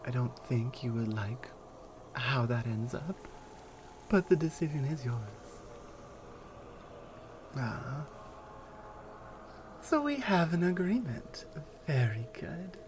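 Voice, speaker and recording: A woman's voice: extremely low pitch, lively intonation, takes it slowly, pauses often, darkish tone, a little hiss or hum, voice pushed down, exaggerated voice. A woman playing a big, strong Amazonian warrior in an ASMR roleplay, commanding and amused, with a teasing, condescending, self-assured way of talking. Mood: satisfied, teasing.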